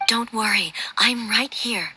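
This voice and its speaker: A woman voicing a composed, regal warrior ruler in a video game, measured, formal and commanding. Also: fairly high pitch, sharp tone, a little hiss or hum. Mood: calm, reassuring.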